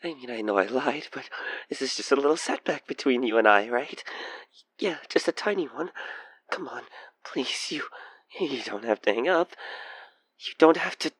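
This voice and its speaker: A man playing an obsessed, manipulative killer who coaxes the listener in an intimate audio roleplay, with halting, breathy delivery that slips into whispers. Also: mid-range voice, animated, a bit bright, no echo. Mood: frantic pleading. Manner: hesitant, halting, breathy sighs and whispers sliding into menace. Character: obsessed male killer, coaxing and manipulative, feigning frightened innocence.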